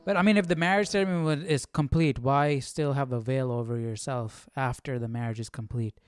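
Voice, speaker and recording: A man's voice: mid-range voice, fairly even intonation, brisk, clear, resonant tone, dry recording. A man hosting an informal livestream study, reading aloud and musing as he comments into a close microphone. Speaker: livestream host. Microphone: close microphone with windscreen.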